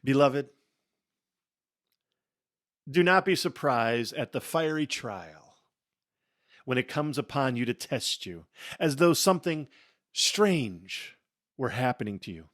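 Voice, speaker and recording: A man giving a dramatic reading of scripture in a solemn, theatrical manner, with emphatic phrasing and deliberate pauses. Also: mid-range voice, expressive, a bit bright. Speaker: Bible reader.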